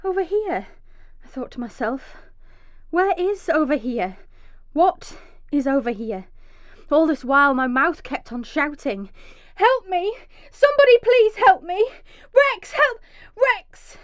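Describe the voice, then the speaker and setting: An actress playing a frightened, injured young mother in a radio drama, emotional and swinging from hushed dread to pleading. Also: high voice, lively intonation, takes it slowly, no echo. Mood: haunted, dazed, then screaming for help. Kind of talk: radio drama monologue with acted dialogue.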